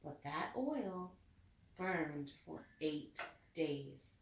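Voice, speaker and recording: A woman reading a storybook aloud with expressive, deliberate phrasing meant for children. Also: deep voice. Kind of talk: read-aloud storybook narration. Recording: echoey room, distant mic.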